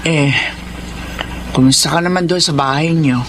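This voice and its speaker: A woman's voice: low-pitched, moderate pace, background noise, natural voice. A woman playing a doting older Filipino mother figure in a radio drama, affectionate and caring.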